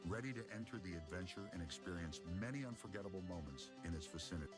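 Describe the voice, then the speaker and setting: A man's voice: sits low, expressive, fast talker. A man playing a solemn, grandiose narrator of a small-town promotional film in scripted horror fiction. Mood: grand, welcoming. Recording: music under the narration.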